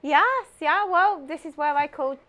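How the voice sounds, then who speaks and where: A middle-aged Englishwoman with a southern English accent and a fairly high voice answers questions in a TV studio. She keeps her replies short and slips in dry jokes. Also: lively intonation, unhurried, bright, forceful tone. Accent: English, southern England. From England. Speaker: guest, ghost walk volunteer. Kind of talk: casual interview chat. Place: local TV studio.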